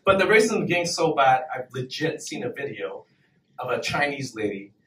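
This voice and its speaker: Young man, stand-up comedian, brash and profane, lively swooping delivery, roomy club heard from far back. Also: medium pitch, normal speed, a little hiss or hum. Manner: brash, profane, laughs between punchlines. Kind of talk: stand-up set at an open mic. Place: small comedy club stage, in front of an audience. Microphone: handheld mic, filmed from far back in the room. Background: audience laughter.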